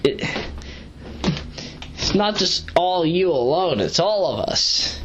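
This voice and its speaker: A woman's voice: lowish voice, sing-song, slow pace. A woman giving a casual, rambling audio review, sleep-deprived but hyper, digressing and correcting herself in slangy talk as she paces around. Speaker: video reviewer, vlogger. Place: pacing around while recording.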